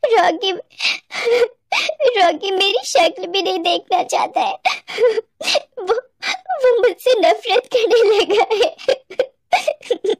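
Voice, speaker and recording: A woman plays a naive teenage schoolgirl in a cartoon story. The voice is very high and girlish, with an emotional, pleading delivery. The sound is dry. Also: extremely slow, pauses often, no echo, exaggerated voice. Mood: tearful.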